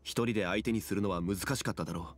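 An actor voicing a calm, dignified ancient male god in human form, unhurried in speech. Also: sits low, music in the background, close to an everyday voice. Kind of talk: Japanese game dub.

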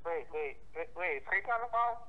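A man with a high-pitched voice talks casually down a muffled, tinny phone line. He speaks haltingly and keeps repeating phrases insistently. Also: lively intonation. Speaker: prank call recipient. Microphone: telephone.

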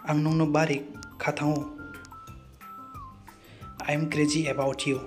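A man with a Kaubru accent reads out short phrases slowly and deliberately, like a teacher drilling vocabulary. His voice sits a little high, and soft music plays underneath. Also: flat delivery. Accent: Kaubru (Bru) accented English. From Northeast India. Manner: slow, deliberate phrase-by-phrase reading. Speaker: channel host teaching phrases. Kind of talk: scripted vocabulary lesson. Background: background music.

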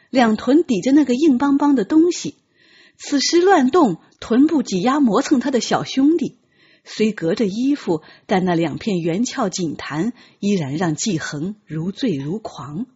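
A woman reads a novel as a dramatic audiobook. Her voice is very husky and breathy, at a middle pitch with animated movement. The recording is slightly dull. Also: a little slow. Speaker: audiobook narrator. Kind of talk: dramatic scripted storytelling.

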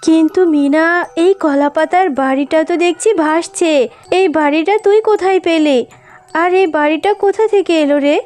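A woman's voice: very high voice, moderate pace, darkish tone. A woman voicing a poor, humble mother fox in a children's cartoon, earnest and deferential. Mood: caring, curious. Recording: music behind the voice.

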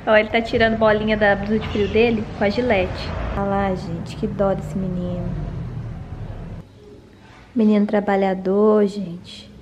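A woman's voice: mid-range voice, flat delivery, measured pace. A young Brazilian woman in her twenties chatting casually to a handheld camera at home. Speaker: vlogger. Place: at home, covered garage and kitchen. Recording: faint background noise.

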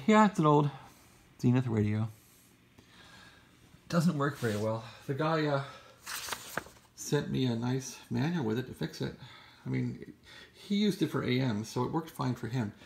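An American man, middle-aged or older, giving a casual, rambling, unscripted show-and-tell in a husky, gravelly, musing voice. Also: mid-range voice, darkish tone, slight background noise. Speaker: vlogger showing a vintage radio. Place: bedroom in a house. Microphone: handheld camera, close behind it.

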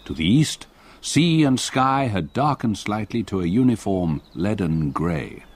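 An actor playing an older English solicitor, gravely narrating a haunting memory in a radio play. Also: male voice, on the low side, lively intonation. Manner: grave, measured storytelling. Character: haunted old English solicitor recalling past terror.

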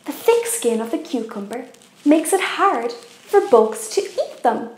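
A young woman hosting a kids' cooking show, presenting facts in an animated, deliberate way. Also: high voice, very noisy. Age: young adult. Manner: animated, expressive, deliberate for kids. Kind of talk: children's educational presenting. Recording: echoey room.

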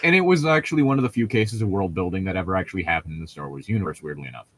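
A man's voice: low voice, lively intonation, very fast, a bit bright, a little hiss or hum. A man giving casual, critical commentary as a podcast co-host, explaining his points as he goes. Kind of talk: casual commentary on a book being read aloud.

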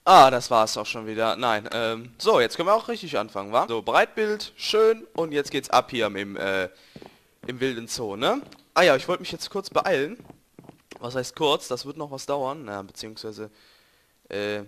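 A young man, a bit hoarse, rambling and joking to viewers while commentating his gameplay. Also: mid-range voice, big pitch swings, normal speed, a bit bright. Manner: joking, rambling, self-correcting, talks to viewers. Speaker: let's play gamer.

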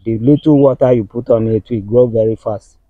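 A middle-aged man with a Nigerian accent, patiently explaining farm work outdoors with halting speech and many fillers. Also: medium pitch, no room sound. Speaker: farm worker. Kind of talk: explaining farm practice on a walking tour. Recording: dull lo-fi sound.

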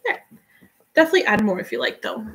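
A young woman from Guatemala whose first language is Spanish, chatting relaxed and giving step-by-step tips in a live painting tutorial. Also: fairly high pitch, fast talker, gravelly. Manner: relaxed, chatty, laughs often, answers viewers' comments. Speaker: painting instructor.

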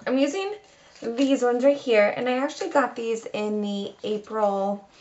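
A woman's voice: fairly high pitch, a little slow, dull audio, noisy background. A woman in her twenties giving a casual, chatty makeup tutorial as a beauty vlogger, recorded at home on a camera mic. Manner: chatty, informal. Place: small room at home.

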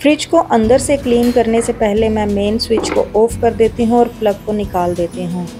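A woman with an Indian accent giving a calm, step-by-step voice-over for a home cleaning video. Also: a little high, moderate pace. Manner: explains each step in a steady how-to tone. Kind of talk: calm scripted voice-over narration. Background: background music.

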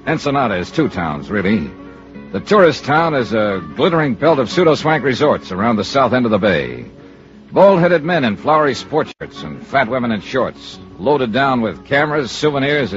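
An actor playing a wry, hard-boiled American insurance investigator in 1950s radio style, with dry, sardonic, clipped delivery. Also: male voice, mid-range voice, sing-song, normal speed, lightly acted. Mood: dry narrating. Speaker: radio drama lead and narrator. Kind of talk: 1950s American radio detective acting, clipped first-person narration. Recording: muffled old radio recording, organ music.

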